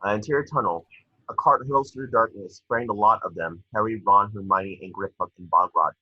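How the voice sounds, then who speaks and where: A man's voice: on the low side, brisk, slightly rough, no echo, highs cut off. A man reading stage directions as narrator for a screenplay table read.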